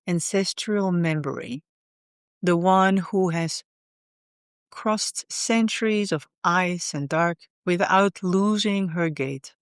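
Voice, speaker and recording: A woman leading a guided meditation in a soothing, unhurried way, often pausing in the middle of phrases. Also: on the low side, clean tone, no echo, studio quality.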